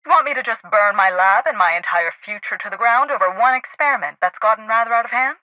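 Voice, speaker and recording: A woman's voice: on the high side, animated, fast, no echo, lightly acted. Actress as a haughty woman scientist, dry muffled audio. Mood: defensive, urgent. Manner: pompous, formal, precise diction. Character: haughty, high-strung woman scientist heading a research lab. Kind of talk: video game character dialogue.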